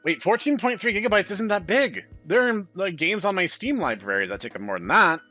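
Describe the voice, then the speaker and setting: A man's voice: high voice, sing-song, fairly quick, bright, forceful tone, soft background music, pitched above the performer's own voice, full character voice. A man playing an exasperated, wary male computer user, the incredulous and dramatic hero of a visual novel. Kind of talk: visual novel protagonist read-aloud.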